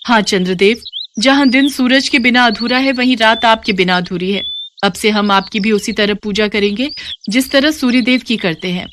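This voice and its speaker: A woman voicing a mild, reasoning farmer's wife in an animated moral story. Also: fairly high pitch, moderate pace, a little hiss or hum, close to an everyday voice. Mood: reverent.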